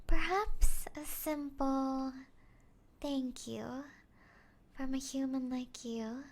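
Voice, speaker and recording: Woman acting a slow, high-voiced teasing vampire woman. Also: steady tone, full character voice. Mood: smug, coaxing. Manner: teasing, slow and drawn-out, often breathy whispering. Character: taunting, playful female vampire toying with a trapped hunter. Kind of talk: ASMR roleplay acting.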